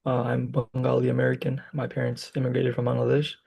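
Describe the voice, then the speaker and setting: Man in his twenties, casual podcast guest, low-key warm tone, fry in the voice, lots of ums. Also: completely flat delivery, quick pace. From Michigan, US. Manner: hesitant, many fillers. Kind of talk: casual chat.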